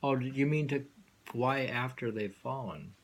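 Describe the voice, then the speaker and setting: A man reading poetry aloud and discussing it informally, in a musing, halting way that lingers and repeats lines. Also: medium pitch. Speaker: poetry reader and host.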